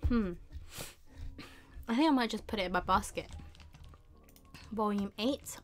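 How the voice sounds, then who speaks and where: A young woman hosting a casual live stream, thinking aloud in an unhurried way with murmured asides. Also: medium pitch, faint music behind. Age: young adult. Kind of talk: casual chat while shopping online. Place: small indoor room with bookshelves.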